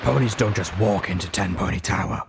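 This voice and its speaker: A man's voice: low-pitched, brisk, gravelly, very noisy. A sceptical gatekeeper pony, played by a male narrator, curt and grudging. He speaks as a hard-to-impress guard in a storybook tale.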